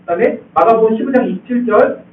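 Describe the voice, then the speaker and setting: High-voiced male pastor in an echoey church. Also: fast talker, a loud crowd. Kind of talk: expository sermon. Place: church sanctuary. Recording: echoey hall, distant tinny sound.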